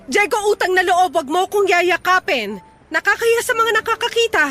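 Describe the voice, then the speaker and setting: A wary older Filipino ex-girlfriend in a radio drama. Her voice is extremely high and very animated, hesitant and exasperated, over faint music. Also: brisk, exaggerated voice. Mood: alarmed, exasperated. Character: older woman, flustered, wary former girlfriend.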